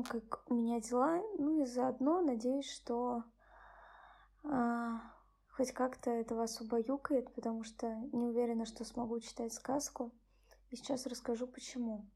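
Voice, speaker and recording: A tired young woman hosting her own channel, speaking softly and subdued as she reads a bedtime story and chats about her day. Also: steady tone, normal speed, gravelly. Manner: soft, subdued, low-key. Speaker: channel host. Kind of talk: bedtime story reading and personal chat.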